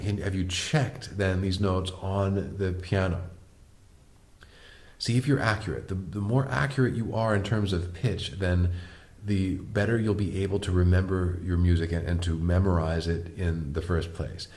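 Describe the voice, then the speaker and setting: A man with a deep voice teaching a piano lesson, patiently explaining step by step and asking the viewer questions. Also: unhurried, darkish tone. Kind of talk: online music lesson.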